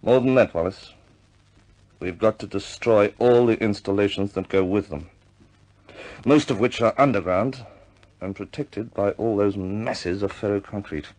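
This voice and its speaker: An actor playing a grave, businesslike senior British air force officer, briefing in a deliberate, measured way in 1950s war film style. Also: male voice, lowish voice, darkish tone, lightly acted, low-quality recording. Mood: urgent, firm.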